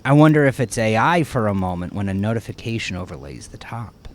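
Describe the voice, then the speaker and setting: A man's voice: on the low side, expressive, brisk. A man narrating a first-person story expressively, acting out the storyteller's reactions as he goes. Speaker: author narrating own horror story.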